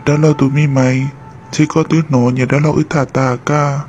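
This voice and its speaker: A man voicing a male character in an amateur animated cartoon drama. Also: medium pitch, quick pace, lo-fi sound, faint music behind, close to an everyday voice. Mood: conversational. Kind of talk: hobby animated cartoon dialogue.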